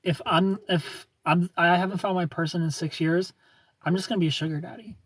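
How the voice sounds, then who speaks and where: A man in his thirties hosts a casual livestream, greeting viewers and reading their comments aloud. He jokes at his own expense and laughs often. His voice is slightly raised in pitch and a little husky. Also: steady tone, brisk, no room sound. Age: 30s. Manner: joking, self-mocking, laughs often. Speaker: livestream host.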